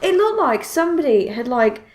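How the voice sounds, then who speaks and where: A woman's voice: sits high, sing-song, a little fast, a little mellow, slight background noise. A woman hosting a podcast in casual chat, telling things expressively with frequent self-interruptions and exclamations. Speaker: podcast host.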